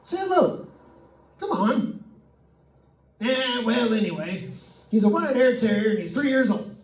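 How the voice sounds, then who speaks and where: A man's voice: extremely high pitch, very animated, measured pace, exaggerated voice. A man playing a jokey, chatty radio host on a ghost hunt in old-time radio drama style, with folksy asides to listeners. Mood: jokey, jittery. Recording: echoey room, distant microphone.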